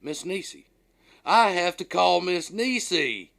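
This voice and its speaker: A man's voice: high-pitched, expressive, takes it slowly, gravelly, fairly bright tone. A man reading his own first-person memoir as an audiobook, in a folksy, dramatic storytelling manner.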